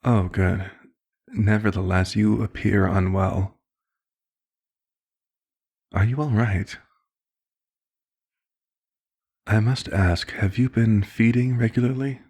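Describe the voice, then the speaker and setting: Man playing a deep, gravelly, courteous elder vampire. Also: fairly even intonation, darkish tone, full character voice. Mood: concerned. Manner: formal, measured, polite wording. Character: elder male vampire doctor, courteous, concerned, gently authoritative mentor. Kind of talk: ASMR roleplay, scripted one-sided dialogue.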